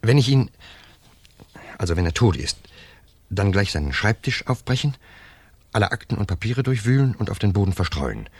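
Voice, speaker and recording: An actor playing a wary, methodical lover plotting a murder in a German radio crime drama. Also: male voice, fairly low pitch, very animated, normal speed, pauses often, breathy edge. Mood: methodical, tense. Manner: cautious, methodical.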